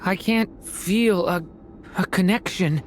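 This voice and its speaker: A woman voicing a gentle, unsure young dragon boy in a video game, speaking softly and hesitantly. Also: lowish voice, slow, slight background noise. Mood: lost, faint. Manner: soft, hesitant.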